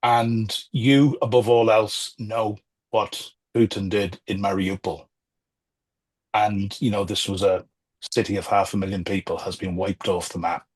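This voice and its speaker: Middle-aged guy on a video call, low husky voice, slow measured talk with lots of ums. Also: steady tone. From Ireland or Britain. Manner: measured, many fillers and restarts. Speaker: guest, former diplomat. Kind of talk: remote interview, political commentary.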